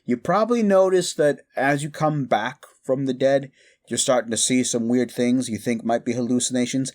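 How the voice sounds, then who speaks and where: A man's voice: medium pitch, normal speed, a little mellow, lightly acted. A man playing a quirky, mischievous necromancer in a comedic audio roleplay, rambling eagerly and casually with slangy stammers. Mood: sheepish, reassuring.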